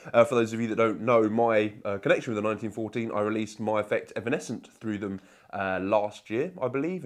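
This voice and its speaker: Brief: hoarse male vlogger, chatty and upbeat, filler-heavy, mid-pitched. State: hoarse from a cold. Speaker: magic product reviewer. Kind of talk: casual review vlog to camera.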